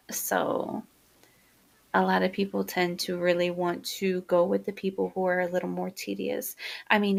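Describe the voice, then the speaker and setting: A young woman hosting her own podcast, talking casually and soft-spoken, with lots of fillers. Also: medium pitch, creaky. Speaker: podcast host. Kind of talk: casual solo podcast monologue.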